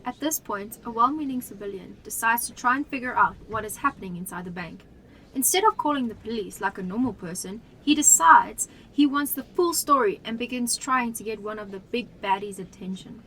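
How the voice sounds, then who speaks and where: A woman's voice: on the high side, lively intonation, brisk, sharp tone, a little hiss or hum, low-quality recording. A young woman giving scripted narration for an amateur radio drama.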